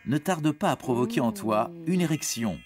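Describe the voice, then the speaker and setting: A man's voice: a little high, expressive, moderate pace. A man giving scripted educational narration for young teens in a reassuring, explanatory manner. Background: background music.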